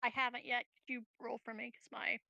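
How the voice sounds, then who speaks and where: A woman's voice: on the high side, flat delivery, fairly quick, gravelly, pushed and bright, dry recording, muffled recording. A woman chatting casually as a player in a tabletop game. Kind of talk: casual chat.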